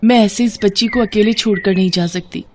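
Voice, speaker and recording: A woman voicing a kind, pious young daughter-in-law, gentle and earnest, in a moral story. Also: medium pitch, brisk, a little hiss or hum, voice pushed up, lightly acted. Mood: tender.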